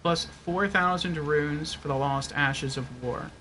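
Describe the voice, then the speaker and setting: A man's voice: a little high, slow pace, pushed and bright, a little hiss or hum. A man giving casual livestream game commentary, thinking aloud and reasoning things out step by step.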